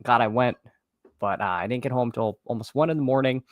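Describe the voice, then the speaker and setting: Slightly hoarse man hosting a casual livestream. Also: medium pitch, no echo, narrow, tinny audio. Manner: halting, repeats phrases as he thinks aloud. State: slightly hoarse, froggy after losing his voice at a game. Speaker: fantasy football livestream host. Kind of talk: casual livestream chat and sports commentary.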